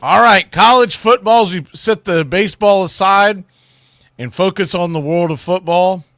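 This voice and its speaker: A man's voice: sits high, moderate pace, fairly bright tone, no echo, studio quality. A man hosting a solo sports talk show, giving commentary in an informal, opinionated way.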